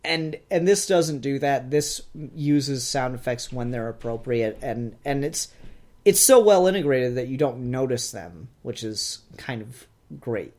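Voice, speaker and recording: A man's voice: medium pitch, unhurried, a little mellow. A man co-hosting a podcast, talking casually and informally as he reviews. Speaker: podcast co-host reviewing fan films. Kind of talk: casual chat, review discussion.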